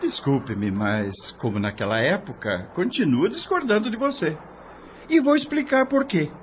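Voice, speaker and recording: A man's voice: on the high side, sing-song, normal speed, a little hiss or hum. An actor playing a jovial, boastful, worldly middle-aged man in an audio drama, hearty and self-satisfied, given to chuckling. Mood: boastful, challenging.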